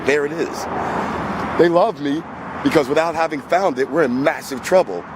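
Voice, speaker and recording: Man in his 50s, hoarse cold-worn voice, rambling vlog talk, outdoors, loud traffic behind. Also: on the high side, moderate pace. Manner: rambling, conversational, talks to the camera. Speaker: vlogger. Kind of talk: casual vlog monologue. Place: outdoors by a road, early morning. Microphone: camera on a magnetic tripod.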